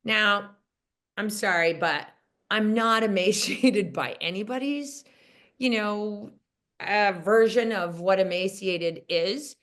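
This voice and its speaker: A woman's voice: mid-range voice, slow pace, fairly bright tone. A woman teacher sharing a story on a group coaching call, chatty and wry, with frequent fillers. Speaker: caller, teacher.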